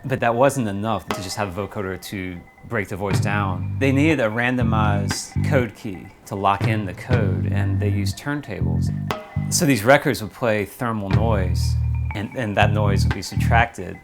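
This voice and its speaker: A man explaining history in a measured way, as in a documentary interview. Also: lowish voice, creaky. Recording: music behind the voice. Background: plucked guitar music.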